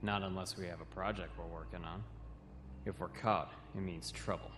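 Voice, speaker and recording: A man's voice: deep voice, fairly even intonation, normal speed, noisy background, lightly acted. An actor playing a wary young male cadet who explains things in an audio comic drama. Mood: wary, exasperated. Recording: roomy echo, music behind.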